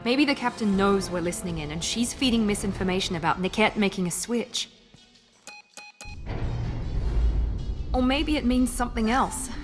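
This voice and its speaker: A woman's voice: medium pitch, lively intonation, fairly quick, natural voice. An actress playing a proud, guarded woman operative in a video game, speaking with intensity over music. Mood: agitated. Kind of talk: dramatic video game acting. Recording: music behind the voice.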